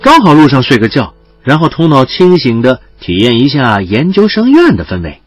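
An actor playing a cheeky, doting teenage student in an audio drama, boisterous and exaggerated in manner. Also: male voice, mid-range voice, sing-song, moderate pace, slightly rough, dry recording, soft background music. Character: excitable, cheeky teenage boy student, doting younger brother.

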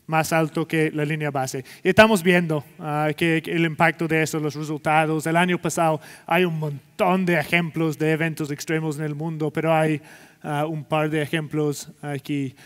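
A man's voice: fairly high pitch, flat delivery, normal speed, big-room sound. A man in his forties from Scotland with a Scottish accent over Chilean-learned Spanish, giving a conference keynote on a large stage, hesitant with many fillers. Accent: Scottish, speaking Spanish learned in Chile. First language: English. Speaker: keynote speaker.

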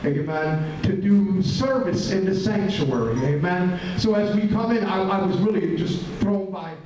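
Middle-aged preacher guy, high voice, keeps saying amen and restarting, heard from far off in a small church hall. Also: a little mellow, a little hiss or hum. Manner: says "amen" after nearly every phrase, halting restarts. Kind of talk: sermon. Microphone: handheld mic, filmed from a far camera. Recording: very echoey room, distant camera.

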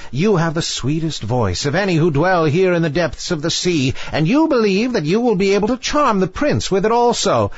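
Man putting on a high, mocking sea witch. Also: no echo, highs cut off. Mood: greedy, mocking. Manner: scornful, bargaining, theatrical. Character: sinister, mocking old female sea witch. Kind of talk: fairy tale storybook character voice.

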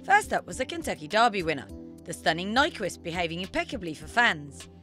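A woman reporter narrates a television feature with a lively, swooping delivery. She sounds like a presenter in her thirties, with light music underneath. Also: on the low side, a little fast.

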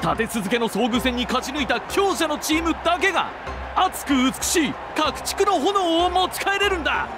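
Male game arena announcer, theatrical and formal, very high register, clear musical backing. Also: expressive, normal speed. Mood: emphatic explaining. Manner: emphatic, projected, formal. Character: excited male tournament announcer, bold and theatrical. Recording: game cutscene under loud music.

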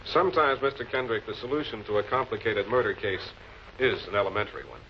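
An actor playing a composed, authoritative prosecutor in a 1940s television crime drama, speaking in a measured, deliberate, commanding way. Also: male voice, fairly low pitch, animated. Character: composed, authoritative male public prosecutor cornering murder suspects. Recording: muffled, noisy old film soundtrack.

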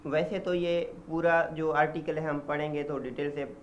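A young man with a Pakistani accent gives an informal lecture, halting and explanatory, restating points simply and mixing in English terms. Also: on the high side, little pitch movement. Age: young adult. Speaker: law lecturer. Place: small indoor office. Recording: dull lo-fi audio with background noise.

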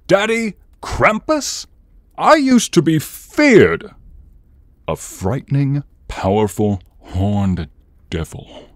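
A man playing an exasperated, menacing horned demon in an ASMR roleplay, theatrical and grumbling, with a husky, breathy voice. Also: medium pitch, big pitch swings, takes it slowly, no echo, clean studio sound, voice pushed up. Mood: indignant, exasperated.

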